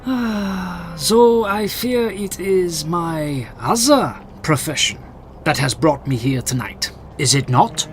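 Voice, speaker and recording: An older gentleman vampire hunter, acted by a man, courtly and stern in a theatrical audio drama. He speaks slowly and grandly in a fairly high male voice that swings expressively, over music. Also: lively intonation, a little hiss or hum. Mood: courtly, warm. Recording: music under the dialogue.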